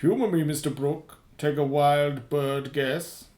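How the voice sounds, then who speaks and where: A man's voice: fairly high pitch, fairly even intonation, takes it slowly, a little mellow. An actor playing a sardonic, menacing spy boss in a radio play, pompous, wordy and precise in his sarcasm. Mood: sarcastic. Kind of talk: radio drama acting. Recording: roomy, echoing sound.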